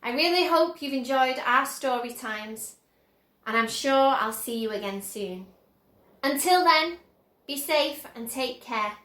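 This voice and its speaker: A woman storyteller with a high voice reads to children. Her narration is expressive and slow-paced, with the echo of a room around it. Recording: roomy, echoing indoor sound.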